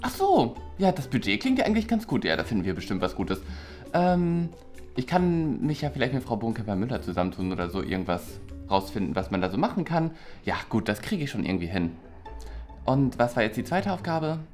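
Woman acting a work-shy teacher, very deep voice, swooping intonation, grumbling, easily annoyed, comedy sketch, music behind. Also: very animated, a little fast, darkish tone. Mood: grudging. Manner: grumbling, reluctant, theatrical.

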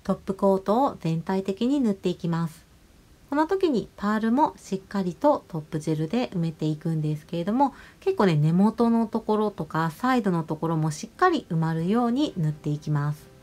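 A woman nail artist narrating a tutorial voice-over, explaining each step politely and giving gentle advice. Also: mid-range voice, animated, fairly quick. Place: voice-over over close-up salon footage. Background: music.

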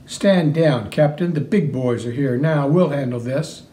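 A man's voice: medium pitch, unhurried, mellow, slight background noise, voice pushed down, lightly acted. A man voicing a dismissive, commanding army colonel who speaks curtly and condescendingly. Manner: curt, condescending.

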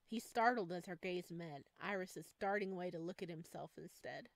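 A woman's voice: on the low side, normal speed, dry recording. Woman narrating a visual novel, dry sound. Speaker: gaming video host. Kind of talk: expressive read-aloud narration of a visual novel.

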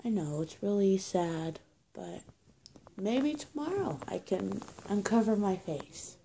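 A woman's voice: mid-range voice, expressive, slow, gravelly, warm tone, slight background noise. A young woman acting out an improvised roleplay on her own, speaking from behind a scarf with a slightly muffled voice. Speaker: amateur roleplay video creator. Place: small plain indoor room. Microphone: phone or webcam at arm's length.

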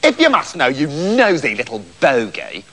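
A man's voice: fairly high pitch, huge pitch swings, normal speed, sharp tone, pitched below the performer's own voice, full character voice. A man voicing a rude, cocky elder farm son in a comic fairy tale, sneering and boastful.

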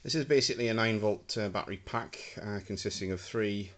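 A man's voice: lowish voice, a little fast, a bit bright, slight background noise. A man giving a casual, hesitant demonstration of homemade electronics, filmed on a handheld camera indoors. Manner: hesitant, many ums, talking while handling gear. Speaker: hobbyist prop maker. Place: indoor room with a wooden floor. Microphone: handheld camera mic.

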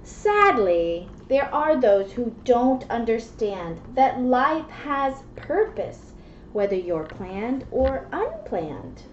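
Woman, 30s, children's read-aloud presenter; unhurried, higher voice, simple explanations, faintly noisy recording. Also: sing-song. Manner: simple child-directed explaining with frequent check-in questions. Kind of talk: reading a picture book aloud and chatting to a small child. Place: small classroom, indoors. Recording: muffled, echoey webcam audio with noise.

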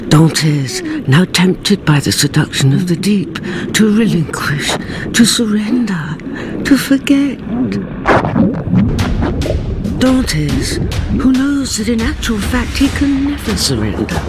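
A devoted female companion, solemn radio drama narrator, slow delivery, swooping pitch, theatrical. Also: background noise. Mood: impassioned. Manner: grave, formal, theatrical. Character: older woman narrator, the Count's devoted companion, solemn and impassioned. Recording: music behind the voice. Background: dramatic music.

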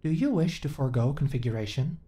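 A woman performs a female companion android for a sci-fi ASMR roleplay. The voice is low and soft-edged, with a mellow tone. She speaks in stilted, literal, formal phrases, earnest yet unsure of herself. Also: quick pace. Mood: flat, hesitant. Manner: stilted, formal, literal, emotionally flat delivery. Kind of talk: ASMR roleplay audio drama.

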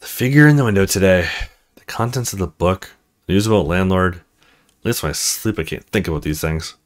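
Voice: deep voice, fairly quick, voice pushed down, close to an everyday voice. A performer reading a visual novel as a weary, wry young man grumbling through his private thoughts. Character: young male roommate, wry, weary, inner monologue. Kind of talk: visual novel read aloud.

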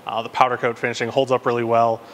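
Young male shop presenter, easygoing, mid-pitched, lapel mic in a garage workshop. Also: quick pace, slight background noise. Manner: relaxed, frequent ums and uhs. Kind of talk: casual product walkthrough. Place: large indoor garage workshop.

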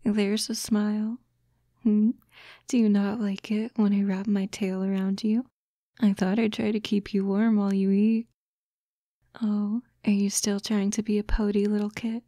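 A woman voicing a doting, teasing fox-girl girlfriend in a soft, breathy, coaxing ASMR roleplay, close to the microphone. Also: mid-range voice, little pitch movement, dry recording, lightly acted. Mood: tender, concerned. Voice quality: soft, breathy, often whispering. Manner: slow, coaxing, cooing. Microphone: close, dry microphone.

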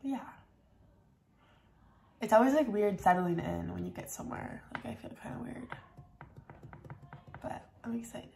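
Young woman vlogger, scratchy morning voice, mid pitch, rambling slowly in an apartment room. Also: creaky, mellow. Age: young adult. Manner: rambling, hesitant. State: sore throat, scratchy morning voice. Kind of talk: casual low-key vlog chat.

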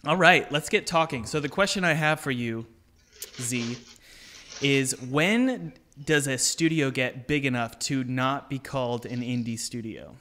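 A man's voice: mid-range voice, unhurried, pushed and bright, a little hiss or hum. A young man hosting a podcast in a casual, enthusiastic chat, laughing often, into a studio mic at home. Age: young adult. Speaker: podcast host. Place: home room with bookshelf. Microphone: studio mic on boom, headphones.

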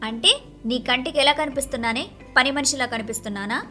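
A woman playing an envious, grumbling young married woman in an animated moral story, with an expressive, dramatic delivery. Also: high-pitched, fast, soft background music. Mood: amazed, indignant. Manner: expressive, dramatic complaining and wheedling.

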